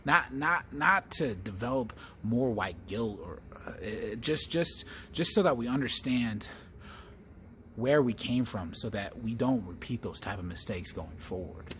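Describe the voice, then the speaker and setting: An American man telling reflective personal stories as a storyteller, speaking haltingly and often repeating words as he searches for the next phrase. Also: medium pitch, lively intonation, a bit bright, slight background noise. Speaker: comedian and storyteller.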